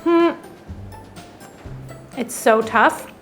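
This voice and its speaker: A woman hosts a food vlog with a slightly high voice. She tastes dishes on camera and thinks out loud as she goes. Her talk is chatty and relaxed. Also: drawn-out words, faint music behind. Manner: chatty, thinks aloud while tasting. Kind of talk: casual food tasting vlog.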